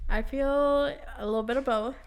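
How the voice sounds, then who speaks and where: A woman's voice: high voice, fairly even intonation, normal speed, smooth. A young woman from Peru hosting a casual podcast chat with friends, chatty and laughing often. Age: young adult. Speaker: podcast host.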